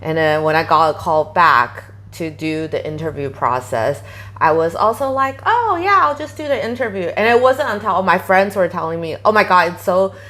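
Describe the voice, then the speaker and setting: Interview guest: woman, 30s, low voice, chatty and full of fillers. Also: animated, moderate pace, fairly bright tone. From Taiwan. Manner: chatty, many fillers, quoting herself animatedly. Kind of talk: casual interview conversation.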